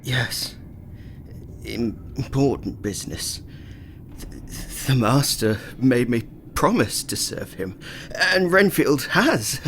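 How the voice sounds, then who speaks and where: Creaky-voiced, halting madman. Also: mid-range voice, animated, fairly bright tone, a little hiss or hum. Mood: fearful, devoted. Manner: halting, stammering, muttering in third person. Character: deranged, fearful male asylum patient enslaved to his master. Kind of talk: theatrical audio drama acting.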